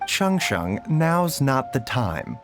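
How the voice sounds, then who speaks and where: An actor playing a gentle, refined male doctor in a video game, polite, formal and soothing. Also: medium pitch, big pitch swings, takes it slowly, faint music behind, heavily acted. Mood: calm.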